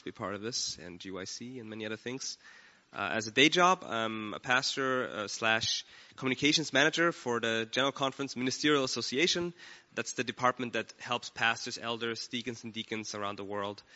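A man from Austria, a pastor, introducing himself on a panel in a plain, friendly, slightly halting way. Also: on the low side, sharp tone. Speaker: pastor and communications manager. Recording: dull sound with long hall reverb.

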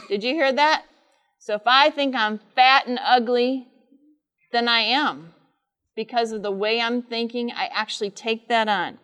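A middle-aged woman with a loud voice speaks live to a conference audience, teaching and asking them frequent questions. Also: a little high. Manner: says she has a loud voice. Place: large conference room with air conditioner.

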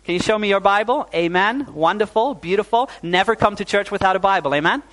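A young male preacher from New Zealand giving a sermon to his congregation. His voice sits a little higher than most men's. He talks warmly, drops in "uh" often and asks the room for an "amen". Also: brisk, rough recording. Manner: warm, fillers like "uh", calls for "amen". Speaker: pastor.

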